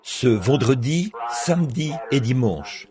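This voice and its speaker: A hoarse man speaking as a preacher, with an unhurried, homely pastoral manner. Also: medium pitch, sing-song, crowd noise. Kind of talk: French dub of a church sermon.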